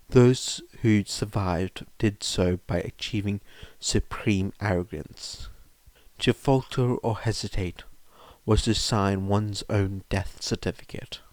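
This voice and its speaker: Measured man narrating, dry close sound. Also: on the low side, darkish tone, no echo. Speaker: audiobook-style fan fiction reader. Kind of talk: scripted narration of a story.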